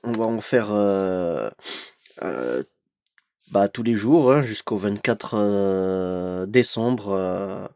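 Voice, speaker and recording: A man's voice: fairly low pitch, expressive, drawn-out words, darkish tone, smooth, dry recording, clean studio sound. A young man with a cold, chatting hesitantly with many fillers while opening an advent calendar for his collection vlog. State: has a cold. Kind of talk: casual unboxing vlog.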